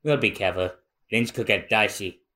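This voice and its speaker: A man voicing a gruff, ambitious young pirate swordsman from a comic, speaking tersely. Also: moderate pace, pushed and bright. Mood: terse, determined. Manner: low, terse.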